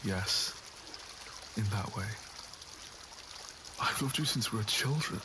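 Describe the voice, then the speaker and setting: A man's voice: lowish voice, expressive, takes it slowly. A man playing an earnest, lovesick nobleman in an ASMR roleplay, with formal, wounded phrasing. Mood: tender confession. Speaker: voice actor in an audio roleplay. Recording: audible water and outdoor ambience noise.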